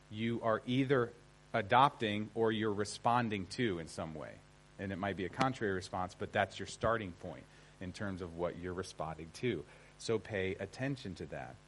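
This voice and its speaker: Conversational American man teaching a seminar. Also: medium pitch. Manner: conversational, emphatic, often checks in with listeners. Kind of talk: informal seminar lecture to an audience.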